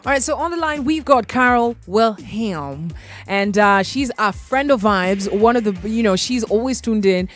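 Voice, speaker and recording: A woman's voice: a little high, animated, normal speed, soft background music. A young woman with a Kenyan accent hosting a morning radio talk show, chatting and mixing English with Swahili slang. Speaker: radio host.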